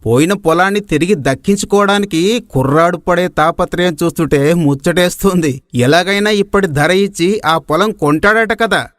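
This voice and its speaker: A man's voice: sits high, moderate pace, no echo, clean studio sound, lightly acted. A man playing a kindly, indulgent older village landowner in a dramatized moral story. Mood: approving. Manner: indulgent, genial.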